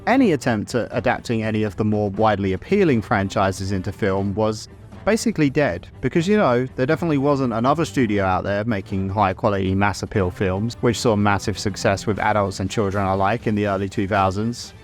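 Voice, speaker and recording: A man's voice: fairly low pitch, expressive, brisk. A man narrating a scripted video essay in a wry, opinionated way, with casual asides. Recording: music under the voice. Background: background music.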